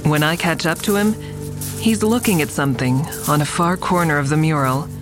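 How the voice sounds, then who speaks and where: An actress playing a reflective, tender woman who narrates an audio drama in measured, descriptive first person. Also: low-pitched, over music, close to an everyday voice, low-quality recording. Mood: uneasy, suspenseful. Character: reflective woman admiring a friend's mural, tender. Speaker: narrator and lead character.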